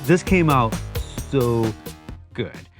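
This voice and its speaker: A man's voice: medium pitch, animated, extremely slow, faint music behind. A man hosting a woodworking vlog, explaining things in an informal, plain-spoken way. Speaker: host of a woodworking channel. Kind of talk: casual workshop vlog narration.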